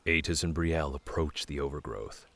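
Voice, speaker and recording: A man's voice: rumbling low, moderate pace, a bit bright, no room sound. A man narrating a scripted fantasy story for an audio drama.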